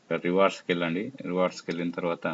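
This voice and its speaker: A man presenting an informal app tutorial, explaining step by step in short, halting phrases. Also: low voice, level tone. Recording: muffled lo-fi audio with faint noise.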